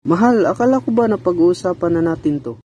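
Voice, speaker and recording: A man's voice: sits high, animated, brisk, warm tone, husky, dull audio. A man playing a stubborn young husband in an amateur radio drama. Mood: annoyed. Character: stubborn young husband eager for many children, later frightened.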